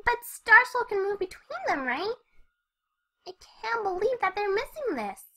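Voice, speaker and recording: Squeaky, bubbly party pony. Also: female voice, very animated, measured pace, fairly bright tone, full character voice. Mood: chatty, bubbly. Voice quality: very high, girlish. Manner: bubbly, chatty. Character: perky excitable young party pony mare, narrating. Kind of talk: first-person fan fiction narration.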